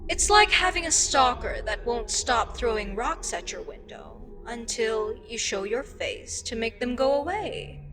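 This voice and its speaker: A woman voicing an ancient, weary eldritch deity in an intimate ASMR roleplay, speaking deliberately with pauses and breathy, whispery touches. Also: on the high side, lively intonation, over music. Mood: disgusted, exasperated. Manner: slow, deliberate, pausing, drifting into breathy whispers. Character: ancient eldritch deity, female, weary, frustrated, wistfully amused by mortals. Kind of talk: ASMR audio roleplay, intimate scripted monologue.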